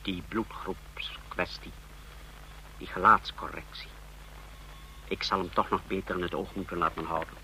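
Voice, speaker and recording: Male intelligence chief serving a dictator, very bright forceful voice, formal and servile, noisy old radio recording. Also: deep voice, fairly even intonation, moderate pace, pauses often, exaggerated voice. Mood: subdued, puzzled. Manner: formal, deferential, emphatic when reporting. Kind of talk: old radio play acting.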